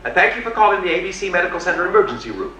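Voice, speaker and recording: Man putting on a chirpy, high-pitched automated phone menu. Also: very animated, racing, bright, forceful tone, recorded from a distance, exaggerated voice. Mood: brightly officious. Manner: sing-song recited announcements. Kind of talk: comic storytelling on stage. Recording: echoey, noisy stage recording from afar.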